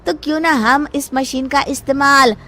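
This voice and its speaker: Cartoon sidekick lad, played by a woman: high voice, greedy, cowardly, wheedling, noisy track. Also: moderate pace, close to an everyday voice. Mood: wheedling, scoffing. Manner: excitable, wheedling, pleading. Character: greedy, cowardly young man, the hero's friend. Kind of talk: cartoon story dialogue.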